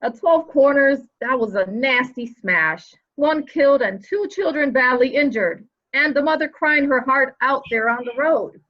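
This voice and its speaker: A woman's voice: high-pitched, animated, a little slow, few pauses. A woman playing a dour, opinionated subordinate police officer with a folksy way of talking, in a dramatic reading of a murder mystery. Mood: gloomy. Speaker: actor in a library's dramatic reading. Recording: slightly dull, lo-fi audio.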